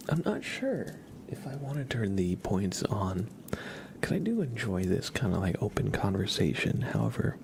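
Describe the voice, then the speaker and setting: A man hosting an ASMR livestream, chatting gently and casually in a soft, breathy voice with frequent pauses. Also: on the low side, background noise. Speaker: livestream host.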